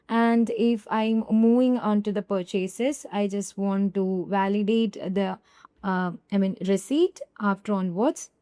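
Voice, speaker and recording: A woman narrates a screen-recorded software tutorial in a warm, mellow voice at an ordinary pitch. She keeps it chatty, calls her viewers "you guys" and stops often to correct herself. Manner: conversational, addresses viewers as "you guys", frequent fillers and self-corrections.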